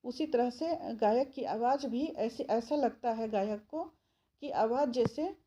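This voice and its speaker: A woman teacher explaining a poem line by line, repeating phrases and pausing often with fillers. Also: a little high, dark tone. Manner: slow, repeats phrases, many filler pauses. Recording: dull lo-fi sound with faint noise.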